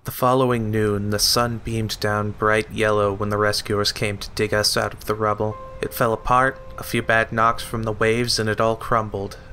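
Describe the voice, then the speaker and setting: A man's voice: lowish voice, little pitch movement, normal speed, hardly any pauses, sharp tone. An actor playing an earnest, uneasy younger lighthouse assistant who also narrates his memories in an audio drama. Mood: reflective. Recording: music under the voice.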